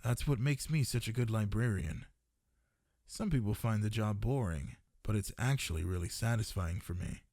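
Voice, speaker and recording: A man's voice: on the low side, normal speed, a little mellow, no echo, studio quality. A man playing a smitten, possessive part-dragon librarian in an ASMR boyfriend roleplay, speaking intimately and tenderly to one listener. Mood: chatty, self-assured.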